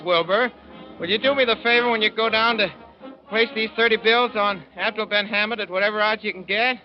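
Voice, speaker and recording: A rambling, boyish young Midwestern man, as an actor plays him, telling his story in plain folksy talk. His voice sits at an average pitch. The sound is dull old radio, with music underneath. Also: natural voice. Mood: eager. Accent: Midwestern American. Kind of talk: 1930s radio drama narration.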